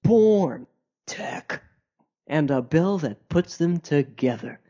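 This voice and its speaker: A man's voice: fairly high pitch, animated, slow, dark tone, muffled recording. A man playing a pompous, earnest political podcast host who speaks in portentous, clipped, emphatic phrases and is easily exasperated. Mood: probing, grave. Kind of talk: mock news interview, comedic scripted acting.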